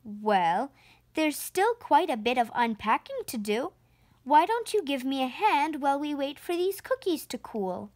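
A woman voicing a gentle, patient, reassuring mother elephant in a storybook. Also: high voice, very animated, measured pace, no room sound. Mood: practical.

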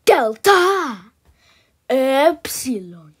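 A woman's voice: high-pitched, very animated, very slow. A woman voicing a cartoon in an exaggerated way, with single words and playful babbled noises. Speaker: amateur voice actor dubbing an animation.

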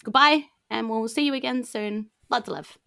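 A woman's voice: fairly high pitch, moderate pace, creaky, sharp tone, dry recording, clean studio sound. A woman with a New Zealand accent hosting a podcast, giving chatty thanks and announcements in a gushing, appreciative way. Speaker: host and game master of a D&D podcast.

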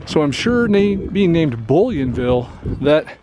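A man's voice: a little high, big pitch swings, a little slow. A middle-aged man vlogging casually outdoors in the desert, chatty and a little winded from hiking. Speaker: travel vlogger. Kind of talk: casual outdoor vlog narration. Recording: noisy outdoor recording.

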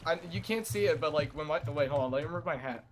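A man's voice: fairly high pitch, fast talker. A man playing a multiplayer game, joking casually with others on a voice call. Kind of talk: casual gaming banter. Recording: faintly noisy mic with clicks.

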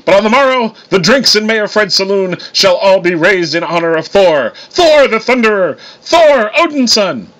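Man putting on a booming, pompous thunder god. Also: very animated, normal speed, few pauses, a bit bright. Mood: triumphant, boastful. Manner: pompous, grandiose boasting. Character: loud braggart thunder god, big male, drunken.

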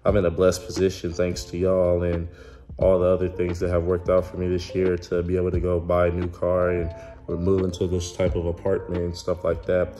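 A young man vlogging casually to his phone in a hotel room, with a relaxed slangy delivery full of ums. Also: low voice, fairly quick, soft background music. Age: young adult. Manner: laid-back slangy talk with many ums. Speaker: college basketball player vlogger. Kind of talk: casual vlog to camera. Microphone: phone held at arm's length.

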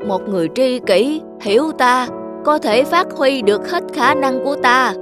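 A woman's voice: high-pitched, expressive, moderate pace, over music. A woman voicing a proud, conceited thoroughbred horse with a haughty, brooding manner in a cartoon fable. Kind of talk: dubbed cartoon fable acting.

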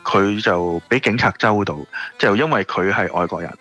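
A man with a Hong Kong Cantonese accent explains things casually as an interview guest. His voice is fairly low yet bright and forward, with music faintly underneath. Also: moderate pace. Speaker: radio interview guest, shop owner. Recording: music playing behind the voice. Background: background music.

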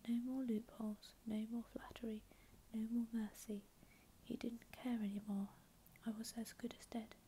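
A woman narrating a story in a steady, breathy ASMR whisper. Her voice sits a little high but stays mellow and level, with hardly any rise or fall. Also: moderate pace, slight background noise, rough recording. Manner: soft unvoiced whisper throughout. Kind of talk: whispered ASMR reading of a fantasy novel.

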